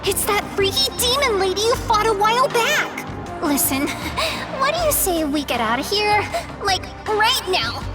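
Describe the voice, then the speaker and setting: A woman's voice: very high voice, sing-song, measured pace, heavily acted. An actress voicing a perky, clingy young female companion in a video game, talking in a bubbly, teasing way. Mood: worried. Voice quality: bubbly, teasing valley-girl talk. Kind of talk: video game cutscene voice acting. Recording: game audio with loud music behind.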